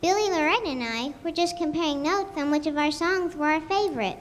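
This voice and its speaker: A woman's voice: high voice, fairly quick, lightly acted. A young girl playing a famous older female singer reminiscing fondly in a school radio show. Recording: echoey school hall, faint noise.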